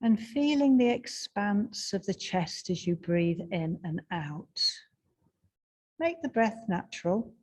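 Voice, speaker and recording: A woman guiding a breathing meditation in a slow, soft and soothing way, with long pauses. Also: medium pitch, expressive. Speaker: meditation guide.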